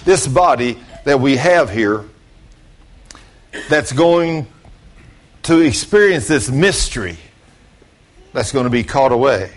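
Man, late sixties, preacher, informal storytelling sermon, folksy, plain-spoken, direct address, medium pitch. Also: expressive, takes it slowly, pauses often. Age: late 60s.

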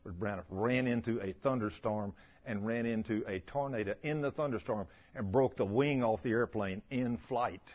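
A man's voice: lowish voice, moderate pace, lo-fi sound. A man preaching in a folksy, emphatic way, reading scripture and putting plain questions to the congregation.

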